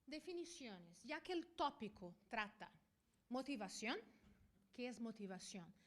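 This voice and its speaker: A middle-aged woman from Brazil, a Portuguese native speaker who speaks Spanish picked up in Spain, giving a conference talk as a veterinarian and professor, often checking in with a quick "ok?". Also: a little high, very animated, a bit bright. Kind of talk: conference presentation.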